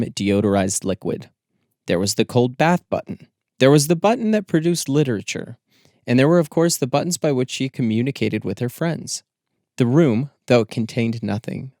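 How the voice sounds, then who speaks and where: A man's voice: medium pitch, darkish tone, no room sound. Dry-sounding male audiobook narrator. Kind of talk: scripted literary narration.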